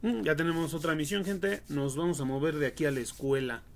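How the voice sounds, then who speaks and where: A man's voice: fairly high pitch, a little fast, a little hiss or hum. A young adult man with a Mexican accent, giving casual, slangy, foul-mouthed and jokey commentary on a game livestream. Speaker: livestream gamer.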